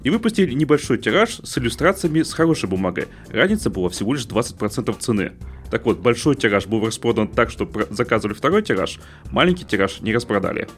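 A man's voice: mid-range voice, sing-song, fast, soft background music. A man co-hosting a casual discussion, making his argument in an opinionated way with examples. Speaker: podcast co-host.

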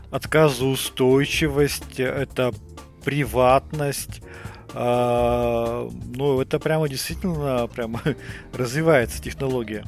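A man hosting a casual interview, talking conversationally and a bit haltingly as he thinks aloud. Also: mid-range voice. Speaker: podcast host.

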